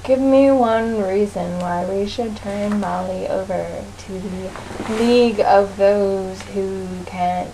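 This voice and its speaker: A woman voicing a defiant, scornful teenage witch in a comic read-aloud, with drawn-out sarcasm, on a noisy amateur recording. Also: mid-range voice, vocal fry.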